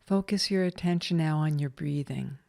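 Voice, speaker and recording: A woman leading a scripted guided meditation in a calm, soothing, measured way with long pauses. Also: low voice, vocal fry.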